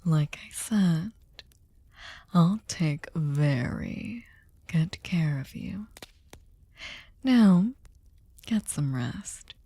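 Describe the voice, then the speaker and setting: A woman playing a sadistic, seductive vampire mistress in an ASMR roleplay, commanding and condescendingly tender, breathy and often whispering. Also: low voice, lively intonation, very slow, long pauses, warm tone, no room sound, full character voice. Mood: soothing, possessive. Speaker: ASMR voice performer. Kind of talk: ASMR roleplay, slow intimate monologue. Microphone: close studio microphone, dry.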